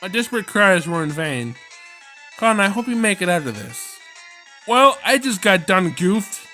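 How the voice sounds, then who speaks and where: A man with a fairly high voice streams a story game. He reads the narration aloud and reacts in exclamatory, slangy asides. Music plays clearly behind his voice. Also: big pitch swings, a little slow, bright, forceful tone. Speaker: let's play streamer. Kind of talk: visual novel let's play with read-aloud narration and reactions. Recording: prominent background music.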